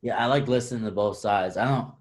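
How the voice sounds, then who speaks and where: Low-voiced casual male interviewer. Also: brisk.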